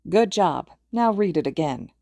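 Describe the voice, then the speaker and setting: A woman voicing a bossy elderly female healer in an audiobook, brisk and dry-humored. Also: lowish voice, animated, mellow, dry recording.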